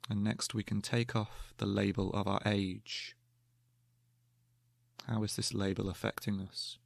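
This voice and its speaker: A man leads a guided meditation in a low, even voice with a noticeable creak at the edges. He speaks gently and calmly, placing each instruction carefully and letting pauses open up between phrases. The sound is dry and close, with very little room around it. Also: no echo. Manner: calm, gentle, measured with long pauses.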